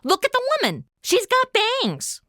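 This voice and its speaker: A brash young American woman with a high, bright voice. She is sarcastic and blunt, swooping animatedly through her slangy lines in a comic audio drama. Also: huge pitch swings, normal speed, no echo, studio quality, exaggerated voice. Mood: sassy, mocking.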